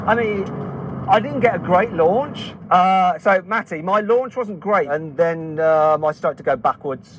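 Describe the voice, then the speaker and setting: A man with an English Midlands accent hosting a car vlog outdoors on a runway, excitable and laughing often. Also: sits high, measured pace, background noise. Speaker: car reviewer and host.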